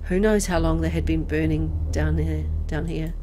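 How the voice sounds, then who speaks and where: A woman reading a horror visual novel aloud in an expressive, dramatic storytelling way. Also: sits low, normal speed, a little hiss or hum. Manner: expressive, acting out dialogue. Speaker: gameplay video narrator. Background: music.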